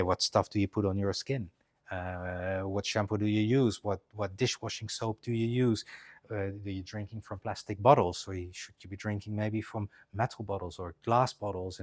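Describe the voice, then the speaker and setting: A man of about fifty giving a loose, chatty presentation, with many restarts as he talks. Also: lowish voice. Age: 50s. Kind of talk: casual live presentation. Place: clinic presentation room.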